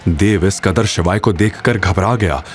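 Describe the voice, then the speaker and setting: A man's voice: low voice, a little slow, soft background music. A man giving dramatic scripted narration as the storyteller of an audio drama.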